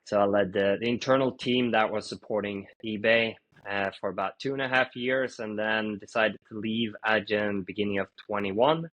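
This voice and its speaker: Low-voiced Swedish-born man telling his career. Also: lo-fi sound. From Sweden. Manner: relaxed storytelling with frequent "uh" pauses. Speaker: guest, fintech founder. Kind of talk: casual interview answers.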